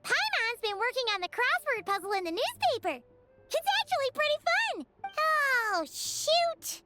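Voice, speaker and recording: A woman voicing a small, childlike, chatty and excitable female fairy companion in a video game, squeaky and cute. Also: measured pace, over loud music, heavily acted. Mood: cheerful, eager. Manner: squeaky, refers to self in third person.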